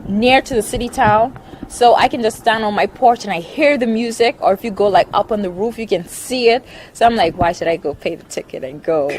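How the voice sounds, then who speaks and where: A woman's voice: mid-range voice, a little hiss or hum, poor audio. Chatty woman, young adult. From Bahamas. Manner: chatty, laughs often, lists things. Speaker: interviewee. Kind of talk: casual interview.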